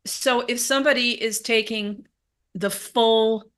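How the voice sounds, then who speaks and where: A woman's voice: on the high side, fairly even intonation, slow, fairly bright tone. Friendly woman caller.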